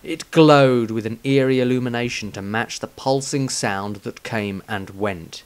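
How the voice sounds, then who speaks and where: A man reading his own fantasy story as dramatic scripted narration, in a measured, suspenseful storytelling manner. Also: mid-range voice, clear, resonant tone.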